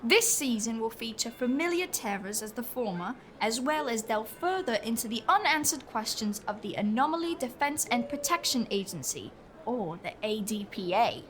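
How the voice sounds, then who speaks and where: High-pitched girl thief, bossy and fretful, played by a woman, comic audio drama, noisy background. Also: very animated, a bit bright. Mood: wary. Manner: brisk, scolding, colloquial. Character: Opal, bossy, fretful young female street pickpocket.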